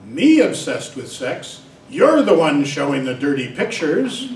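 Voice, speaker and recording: A man's voice: on the high side, big pitch swings, measured pace, slight background noise. A man voicing an indignant, defensive male therapy patient, heard in a roomy hall from a distance.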